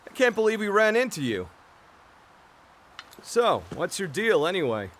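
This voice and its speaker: Excitable, animated young adventurer. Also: male voice, sits high, normal speed, a little hiss or hum. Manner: casual, excitable. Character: eager, friendly young male adventurer. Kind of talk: game cutscene acting.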